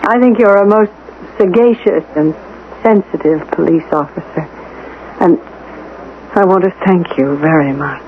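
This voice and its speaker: A woman's voice: lowish voice, close to an everyday voice. Actress as cool, guarded woman, tinny radio. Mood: cool irony. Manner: measured, arch politeness. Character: composed, guarded woman suspect, coolly polite and ironic. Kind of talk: 1970s radio drama acting. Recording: tinny vintage radio broadcast, faint noise.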